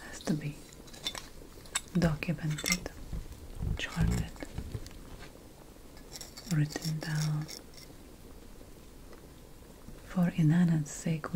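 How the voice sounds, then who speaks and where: Woman as a slow, whispering ancient witch. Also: deep voice, full character voice, rough recording. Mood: hushed, marveling. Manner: hushed, deliberate, lingering over lists. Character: ancient female witch priestess, patient, lofty potion scholar. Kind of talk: whispered ASMR fantasy roleplay.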